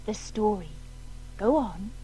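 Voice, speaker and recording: A woman's voice: medium pitch, expressive, slow pace, dark tone, breathy edge, faint music behind, exaggerated voice, rough recording. A woman acting an ethereal, playful muse spirit in a radio play, lyrical and insistent. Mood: teasing. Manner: lyrical, teasing, dreamy.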